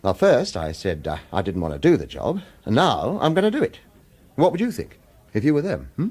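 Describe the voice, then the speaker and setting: A man's voice: medium pitch, wildly swooping pitch, a little fast, darkish tone, husky. An actor playing a wary hitman who narrates a radio drama and speaks protectively, with hesitant reassurance. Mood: earnest, cautious. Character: wary male hitman narrator, protective of his lover, evasive. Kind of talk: radio drama acting with first-person noir narration.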